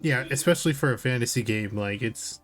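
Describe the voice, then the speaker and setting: A man's voice: medium pitch, a little fast, a bit bright. Casual male co-host over faint music. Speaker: podcast co-host. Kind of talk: casual reaction chat.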